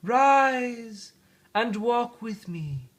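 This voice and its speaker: High, drawn-out, unearthly spirit. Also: male voice, expressive, heavily acted. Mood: commanding. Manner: slow, drawn-out, solemn. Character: unearthly, gentle, solemn spirit of bygone Christmases. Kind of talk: dramatic storybook read-aloud.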